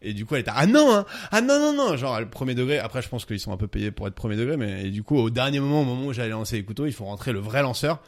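Fast-talking man telling stories. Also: mid-range voice. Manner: fast, animated anecdote telling with laughs. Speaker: podcast guest. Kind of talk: casual storytelling chat.

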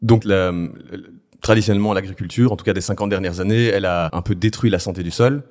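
Male podcast host, deep voice, measured questions. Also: steady tone, a little mellow. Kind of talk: interview hosting.

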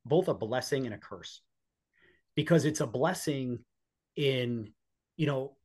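Male, 40s, American accent, mid-pitched voice; conversational interview guest sharing personal stories. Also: measured pace, a little mellow, breathy edge. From northeastern United States. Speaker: podcast guest, police officer and former EMT.

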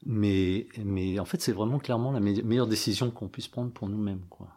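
A man's voice: lowish voice, moderate pace, a little mellow. A man sharing reflections in a group discussion, talking hesitantly with many restarts and fillers. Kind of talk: casual reflective talk.

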